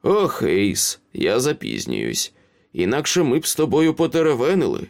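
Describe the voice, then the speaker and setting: A man's voice: medium pitch, huge pitch swings, normal speed, vocal fry. A man voicing a wry male wizard in a storybook who questions people with casual, mocking menace.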